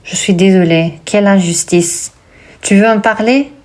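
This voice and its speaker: A woman voicing a caring female friend who asks what is wrong, read slowly for a textbook dialogue. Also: medium pitch, a little mellow, close to an everyday voice. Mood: concerned. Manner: slow, careful textbook dialogue reading.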